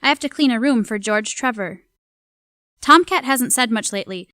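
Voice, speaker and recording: A woman's voice: on the high side, moderate pace, pushed and bright, no room sound, clean studio sound. A woman playing an observant young household servant narrating her journal in an audio drama, plain and confiding. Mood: confiding, puzzled. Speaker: voice actor.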